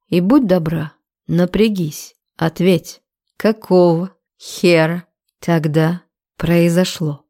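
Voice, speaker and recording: Woman reading as a furious man, relaxed timbre. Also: fairly low pitch, lightly acted. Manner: menacing, clipped, deliberate. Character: furious, menacing young man interrogating with cold contempt.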